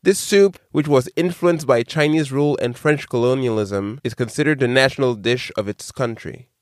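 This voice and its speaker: A man's voice: mid-range voice, no echo. Man reading trivia questions, dry sound. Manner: reads questions slowly and deliberately, then chats loosely. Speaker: quizmaster. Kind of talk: reading trivia questions, casual podcast chat.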